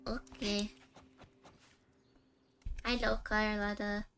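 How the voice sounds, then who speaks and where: A woman's voice: medium pitch, little pitch movement, drawn-out words, fairly bright tone, clear, resonant tone. A woman game streamer talking casually on a live stream and reading game text aloud.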